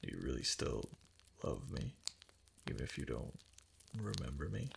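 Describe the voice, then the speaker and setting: Very deep, gravelly, half-whispering doting kitsune husband played by a man. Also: sing-song, moderate pace, noisy background, full character voice. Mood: adoring. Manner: tender, hesitant, coaxing. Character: doting, obsessive male kitsune fox-spirit husband. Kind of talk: intimate ASMR roleplay. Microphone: close microphone.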